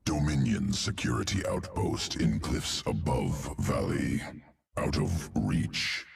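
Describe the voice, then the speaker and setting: A man's voice: extremely low pitch, sing-song, gravelly, recorded from a distance, slight background noise, exaggerated voice. A man playing a cold, clinical alien insectoid geneticist in a video game, speaking in a guttural growl and clipped, telegraphic phrases. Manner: clipped telegraphic speech without articles, deliberate. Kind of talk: video game character acting.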